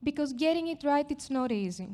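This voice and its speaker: A woman's voice: high voice, animated, a little fast, dark tone. A woman from Greece with a Greek accent, giving a conference product presentation in a chatty, self-deprecating way with frequent fillers and restarts. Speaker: product marketing manager presenting. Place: conference stage.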